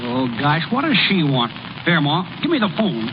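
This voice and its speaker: High-voiced, lively, folksy braggart ballplayer, lazy and unbothered, old radio comedy, muffled noisy broadcast. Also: male voice. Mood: mildly insistent. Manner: folksy, unhurried, boastful, ungrammatical. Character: lazy, cocky, food-loving small-town ballplayer and delivery driver. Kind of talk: 1930s-40s radio comedy acting.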